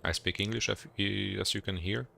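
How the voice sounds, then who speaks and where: Deep-voiced young Polish man, gravelly. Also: steady tone, normal speed, sharp tone. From Poland. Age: young adult. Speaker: live streamer. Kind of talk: casual gaming commentary and chat. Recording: noisy game battle sounds behind voice. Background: artillery fire and vehicle game sounds.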